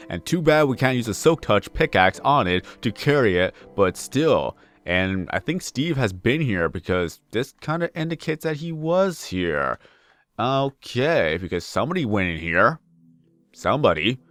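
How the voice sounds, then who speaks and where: Deep-voiced young man, rambling game chat. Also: fairly bright tone, no echo. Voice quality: deep baritone voice. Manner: rambling, self-correcting, repeats phrases; puts on extra energy for videos. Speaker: gaming YouTuber. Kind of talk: casual let's play commentary.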